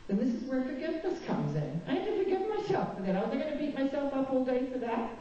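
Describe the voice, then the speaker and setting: A woman's voice: high-pitched, big pitch swings, fast, warm tone, distant mic, a little hiss or hum. A woman from New Zealand, a guest speaker at a spiritualist chapel, giving a spiritual talk with candid, self-mocking personal stories. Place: chapel hall. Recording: echoey dull hall recording from afar.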